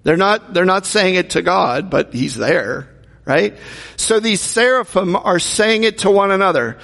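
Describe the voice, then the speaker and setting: Man, pastor, sermon, high voice, gravelly, long hall reverb. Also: expressive, a little slow, fairly bright tone. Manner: conversational preaching with rhetorical questions.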